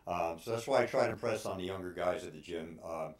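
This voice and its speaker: A man in his sixties giving informal advice as a personal trainer, speaking haltingly with frequent pauses. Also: low-pitched. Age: 60s. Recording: roomy, echoing room sound.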